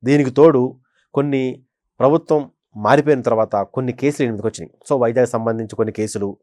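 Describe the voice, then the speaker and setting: A man's voice: medium pitch, fast talker, a little mellow, no echo. Emphatic male political analyst, dry sound. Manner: emphatic, repeats phrases for stress. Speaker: senior journalist, political analyst. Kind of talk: conversational political commentary.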